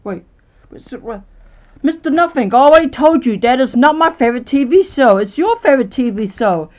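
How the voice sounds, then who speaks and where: A woman's voice: sits high, expressive, brisk, muffled recording. A woman voicing a grudging but loyal best friend in a homemade comedy skit, grumbling as they talk. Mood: reluctant.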